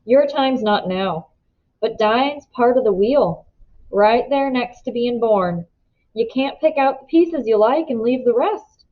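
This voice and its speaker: A woman's voice: on the high side, unhurried, phone-quality sound, natural voice. A woman voicing an earnest, weary older rural father in a storybook, pleading in folksy country phrasing. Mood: calm, weary. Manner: folksy country grammar, urgent and philosophical.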